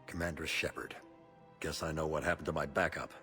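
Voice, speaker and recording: An actor playing a bitter, stubborn retired marine captain in a video game, with a terse, gruff delivery. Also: male voice, a bass voice, fairly even intonation, fairly quick, pushed and bright, soft background music. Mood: resigned. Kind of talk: video game cutscene dialogue.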